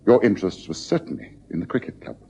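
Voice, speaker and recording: A man playing a shrewd, precise, gently teasing detective in a radio drama, with a British accent and a husky voice. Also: low voice, very animated, fast talker, exaggerated voice. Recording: dull lo-fi radio recording.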